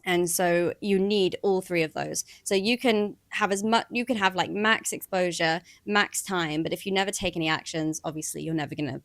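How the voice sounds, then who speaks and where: Lively woman in her thirties, dry mic. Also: lowish voice, normal speed, few pauses, no echo. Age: 30s. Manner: animated, acts out her own inner voice in quotes. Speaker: podcast co-host. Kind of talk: casual chat.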